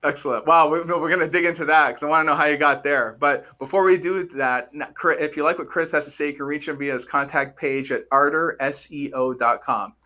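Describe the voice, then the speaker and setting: A man's voice: fairly high pitch, steady tone, brisk, few pauses, pushed and bright. A man hosting a podcast, upbeat and chatty, often stumbling and repeating words as he asks questions. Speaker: podcast host.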